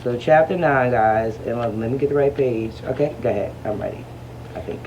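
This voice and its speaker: A man's voice: mid-range voice, fairly quick, dull audio. A man hosting a book club in a casual, informal way, explaining things as he leads the discussion. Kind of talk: casual read-aloud discussion. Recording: faint background noise.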